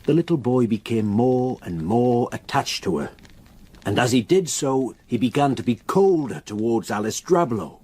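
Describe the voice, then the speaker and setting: An actor playing a grave, reluctant local countryman in a radio drama, with a solemn, halting way of telling a dark story. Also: medium pitch, animated, breathy edge. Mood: sombre recounting.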